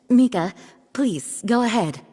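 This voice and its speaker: A woman's voice: fairly high pitch, lively intonation, extremely slow, darkish tone, a little hiss or hum. A woman voicing a dignified, courteous knight commander addressing people formally in a video game. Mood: formal, composed. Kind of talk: animated game voice acting. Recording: long hall reverb.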